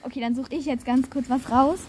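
A woman's voice: sits high, steady tone, brisk, background noise. A young woman chatting casually as a podcast co-host, in a playful, giggly manner. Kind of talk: casual chat.

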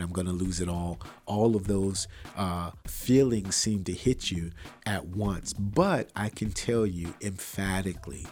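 Earnest, bassy-voiced male podcast host. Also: a little mellow. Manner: earnest, preachy and encouraging, repeats phrases for emphasis. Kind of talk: motivational podcast monologue. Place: untreated room with wires, not acoustically treated.

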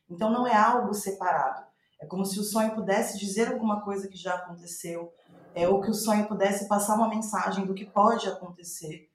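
A woman with a Brazilian Portuguese accent in a reflective interview, thinking aloud haltingly and trailing off. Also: medium pitch, echoey room. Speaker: playwright, podcast guest.

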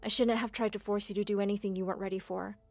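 Woman voicing a caring young man, gentle, reassuring, animated story narration. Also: medium pitch, racing, creaky, no room sound, faint music behind, natural voice. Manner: gentle and reassuring, firm when angry. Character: caring, protective young boyfriend.

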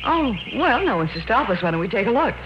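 An American actress playing a curious, uneasy wife in an old-time radio horror drama. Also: female voice, fairly high pitch, big pitch swings, quick pace. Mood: bright, curious. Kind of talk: 1940s-50s radio horror drama acting. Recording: muffled, noisy old-time radio recording.

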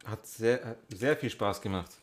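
A man's voice: fairly low pitch, normal speed. Man, casual podcast co-host. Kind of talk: casual chat.

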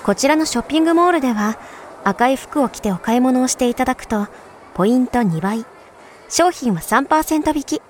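High-voiced, overly polite shop clerk woman, acted. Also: lively intonation, fairly quick, slight background noise. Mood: polite, welcoming. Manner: honorific, smooth sales-pitch politeness. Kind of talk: scripted voice drama acting.

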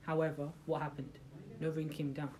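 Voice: medium pitch, little pitch movement, moderate pace, darkish tone. Speaker giving an informal religious talk. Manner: rhetorical questions to the listeners, hesitant restarts. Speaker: religious talk leader for a group. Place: room with listeners present.

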